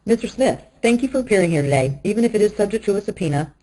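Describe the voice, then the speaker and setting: Fast-talking, mellow woman speaking formally. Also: medium pitch, lively intonation, rough recording. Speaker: questioner in a deposition recording.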